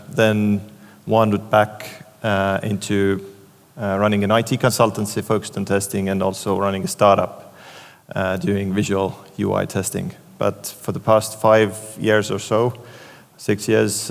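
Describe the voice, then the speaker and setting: A man's voice: fairly low pitch, creaky. A man moderating a conference panel, talking loosely with many fillers. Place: conference stage in a large hall. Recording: long hall reverb.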